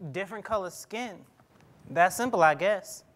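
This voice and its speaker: A high-pitched, proud and bitter teenage boy of 1950s America acted by a young man in a stage play. He speaks emphatically and stammers now and then. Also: normal speed, natural voice. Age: young adult. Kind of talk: staged dramatic reading. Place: theatre stage before a seated audience. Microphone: clip-on lapel mic.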